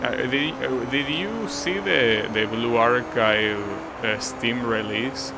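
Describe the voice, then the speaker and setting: Slow, gravelly Argentine man. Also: mid-range voice, heavy background noise. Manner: relaxed, musing, talks to chat. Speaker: streamer. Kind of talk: casual live-stream gameplay commentary.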